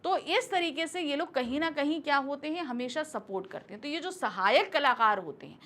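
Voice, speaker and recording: Bright high-voiced woman teacher. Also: animated, brisk. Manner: explains patiently, asks and answers her own questions. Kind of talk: exam question walkthrough lesson.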